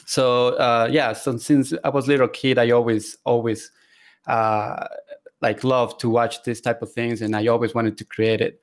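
A man's voice: mid-range voice, little pitch movement, normal speed. A man from Ecuador who speaks natively, answering interview questions in an earnest, casual way. He laughs often and uses many fillers, talking over a video call. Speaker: guest 3D artist.

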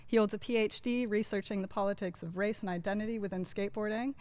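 A woman's voice: medium pitch, steady tone, quick pace, a little mellow. A woman co-hosting a podcast, reading a cheerful introduction of the guest. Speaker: podcast co-host.

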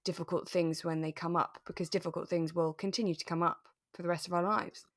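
A woman's voice: on the low side, little pitch movement, brisk. A woman co-hosting a podcast, chatting casually with an earnest, enthusiastic manner. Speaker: podcast co-host. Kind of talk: casual chat about a radio drama.

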